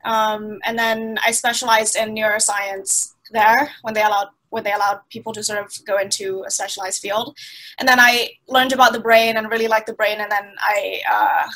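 A woman's voice: medium pitch, little pitch movement, normal speed, pushed and bright, poor audio. A woman in her twenties from Thailand, a guest on a video call, answering at length with fillers and restarts. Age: 20s. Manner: many fillers and restarts, thoughtful. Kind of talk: conversational interview answers. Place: small indoor room. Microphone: laptop webcam on a video call.

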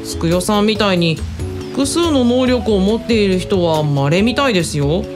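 A woman's voice: medium pitch, animated, moderate pace, natural voice. Actress doing a young woman, polite n hesitant, voice goes up and down a lot, music behind, radio drama. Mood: curious, surprised. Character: polite, earnest, hesitant younger female colleague. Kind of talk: Japanese audio drama dialogue.

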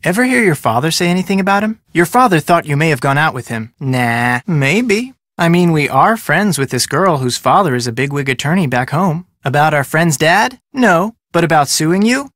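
An actor playing an upbeat, polite but persistent young detective in a video game, with an American accent and a casual, earnest delivery. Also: male voice, on the high side, expressive, normal speed, few pauses, a bit bright, no echo, studio quality. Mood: curious, casual. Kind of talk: scripted video game dialogue.

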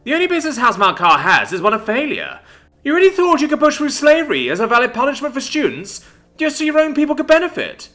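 Fiery young woman, acted by a man, exuberant, righteous, high swooping voice, fantasy audiobook. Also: huge pitch swings, fast, pushed and bright, heavily acted. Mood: indignant, scornful. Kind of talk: dramatic audiobook character voice.

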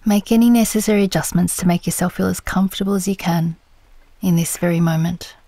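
A woman leading a guided meditation in a gentle, soothing, unhurried manner, her soft voice turning breathy at the ends of phrases. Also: fairly low pitch, dry recording.

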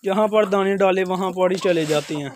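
A young man with a fairly high voice chats his way through farm chores outdoors, talking straight to his viewers. He leans on the same filler phrases again and again. The sound comes through a phone held at arm's length. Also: a little fast, a little mellow, slight background noise. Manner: chatty, repeats filler phrases, addresses viewers as guys. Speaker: village life vlogger. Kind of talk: casual walkthrough narration. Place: outdoor village courtyard with poultry.

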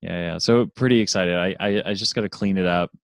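A man, co-hosting a casual podcast chat over a video call, eager and full of quick yeahs and restarts. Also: sits low, no room sound, studio quality. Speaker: podcast co-host.

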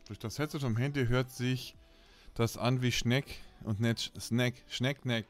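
A man's voice: mid-range voice, fairly even intonation, unhurried, faint music behind. A man chatting casually on a gaming live stream, teasing and bantering playfully in crude, slangy language. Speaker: game streamer.

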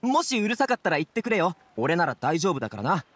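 A friendly young working lad in an anime game. His voice sits fairly high and moves around a lot in pitch, with casual, boyish, chatty phrasing. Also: very animated, fast talker, a bit bright, breathy edge, no room sound, full character voice. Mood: chatty, easygoing.

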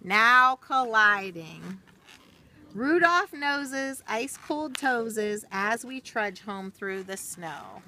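Bright-voiced middle-aged woman storyteller. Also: on the high side, expressive, drawn-out words. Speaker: storyteller reading a children's book. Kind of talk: read-aloud of a rhyming picture book with casual asides. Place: outdoors in a snowy backyard. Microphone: camera or phone a short distance away. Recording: outdoor phone video, faint background noise.

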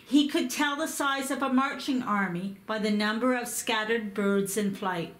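A woman reading a picture book aloud, slow and careful in her storytelling. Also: fairly high pitch. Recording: echoey room.

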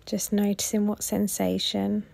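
A woman guiding a body scan meditation in a gentle, soothing, unhurried way with long pauses. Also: mid-range voice, monotone, gravelly. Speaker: meditation guide.

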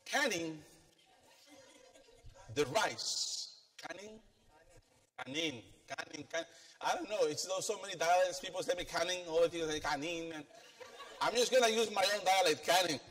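Filipino preacher in an echoing church. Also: male voice, sits high, normal speed, long pauses. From Philippines. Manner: animated, walks the stage, asks the congregation questions. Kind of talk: sermon. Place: church sanctuary, indoors, with congregation. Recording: long church reverb.

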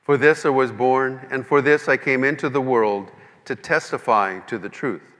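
Man reading as resolute Jesus, bright and echoing. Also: mid-range voice, moderate pace, close to an everyday voice. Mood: calm, resolute. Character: Jesus, calm, resolute male prisoner on trial. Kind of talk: dramatic scripture reading. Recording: long echoing hall reverb.

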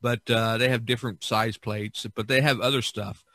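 Male podcast host, bright forceful tone, very dry close sound, casual rambling storyteller. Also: medium pitch, steady tone, normal speed, no room sound. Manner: rambling, repeats and circles back. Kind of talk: casual chat, reminiscing.

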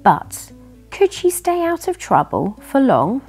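Expressive British woman reading a children's story. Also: high-pitched, slow, breathy edge, soft background music. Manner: animated, addressing young listeners. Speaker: children's story reader and host. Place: furnished living room by a fireplace.

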